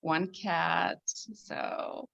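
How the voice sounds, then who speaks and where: Low-voiced Swedish-accented woman. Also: steady tone, extremely slow, creaky, a bit bright, highs cut off. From Sweden. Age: 50s. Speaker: podcast guest, needlepoint designer. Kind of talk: casual chat.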